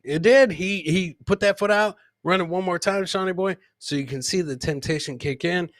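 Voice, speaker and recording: A man's voice: high voice, moderate pace, dry recording, studio quality. A man hosting a live comedy show, commenting on clips casually and informally, repeating his lines like a showman. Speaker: podcast host.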